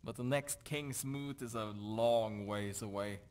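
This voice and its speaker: A man's voice: fairly low pitch, unhurried, a little mellow, natural voice. Man playing a dour, brooding fantasy nobleman. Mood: dour, weary. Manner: gloomy, brooding, self-questioning. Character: dour, disillusioned Nordic nobleman doubting his purpose. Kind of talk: video game character dialogue.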